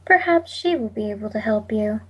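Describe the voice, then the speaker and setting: An actress playing an impassive telephone operator, speaking with measured, formal politeness in 1940s radio drama style. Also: female voice, medium pitch, expressive, husky. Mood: detached, polite. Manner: measured, detached, scripted politeness.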